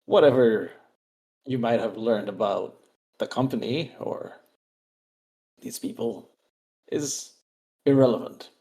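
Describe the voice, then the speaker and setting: A man playing an imperious, cold commander in a tabletop game, speaking slowly in commanding declarations. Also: on the low side, mellow, rough recording. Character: imperious cold commander claiming a dead leader's authority.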